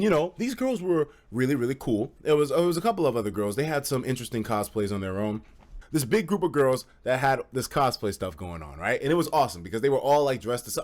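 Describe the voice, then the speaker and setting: A young man with an American accent telling a casual story to a live chat, slangy and profane, acting out the dialogue as he goes. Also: medium pitch. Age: young adult. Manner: animated, slangy and profane, acts out quoted dialogue. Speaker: streamer.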